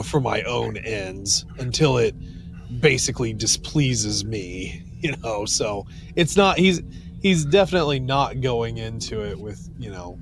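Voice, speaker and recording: A man co-hosting a podcast, casually discussing a story with the others. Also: fairly low pitch. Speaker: podcast co-host. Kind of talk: casual discussion recapping an audio drama.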